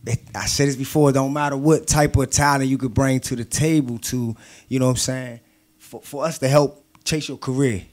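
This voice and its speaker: A man's voice: medium pitch, steady tone, a little fast, a little mellow. A man speaking as a guest promoter on a casual show, laid-back and repeating himself. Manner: laid-back, repeats "you know what I'm saying". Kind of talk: casual talk-show interview.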